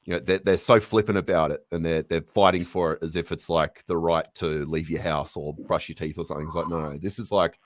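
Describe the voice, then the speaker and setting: A man's voice: low voice, steady tone, fairly quick, hardly any pauses, no echo, clean studio sound. A man guest in a casual political chat, blunt and swearing freely.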